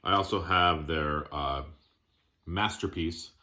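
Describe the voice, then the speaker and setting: A man with a very low-pitched voice and a bright, forceful edge talks through a collection in casual vlog style. He is plainspoken and moves from item to item in short, halting phrases. There is faint noise and clicking behind him. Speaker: music collector showing his collection. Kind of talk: casual show-and-tell vlog.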